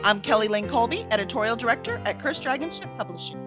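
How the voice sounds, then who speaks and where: A woman's voice: on the high side, expressive, quick pace, music in the background. A woman hosting an interview podcast in an upbeat, casual and playful way, with some mock-serious joking. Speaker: podcast host and editorial director. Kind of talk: upbeat scripted intro and casual interview chat.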